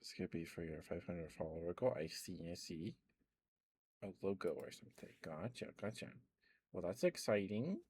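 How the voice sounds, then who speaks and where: A man's voice: deep voice, normal speed, darkish tone, slightly rough. A man doing ASMR as a live streamer, chatting casually and affectionately with viewers close to the microphone. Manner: affectionate, addresses viewers by pet names.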